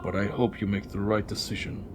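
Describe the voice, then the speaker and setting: A man playing a grave, persuasive president villain in a dramatic anime fandub, speaking in measured, formal, declamatory monologue. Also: fairly low pitch, little pitch movement, over music, close to an everyday voice. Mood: grave, expectant. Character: male president villain, solemn, persuasive, grave, honor-bound vower. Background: dramatic orchestral soundtrack.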